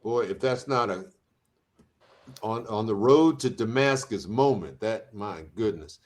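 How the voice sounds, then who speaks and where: Animated male co-host. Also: lowish voice, measured pace. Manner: animated and exclamatory. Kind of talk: hosting an online interview.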